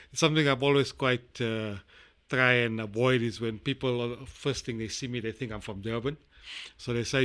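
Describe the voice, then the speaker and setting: A middle-aged South African man being interviewed, talking in a rambling, halting way with many fillers. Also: medium pitch, pushed and bright, clean tone. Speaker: guest. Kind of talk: casual interview chat.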